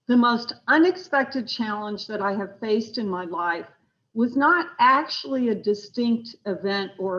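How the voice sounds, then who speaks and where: A woman's voice: medium pitch, fairly bright tone. A woman professor reading a personal essay aloud on an online video call. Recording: dull, lo-fi video call audio.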